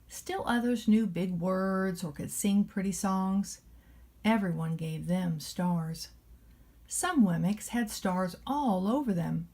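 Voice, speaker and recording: Mellow-voiced woman reading a children's storybook. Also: mid-range voice. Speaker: storybook reader playing a nanny. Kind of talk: children's storybook read-aloud narration.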